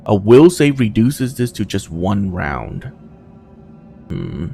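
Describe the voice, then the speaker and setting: A man with a low, gravelly voice talks casually through a video game, thinking out loud. His pitch moves around a lot as he deliberates. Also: wildly swooping pitch, a little hiss or hum. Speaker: gamer commenting on own playthrough. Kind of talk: casual gameplay commentary.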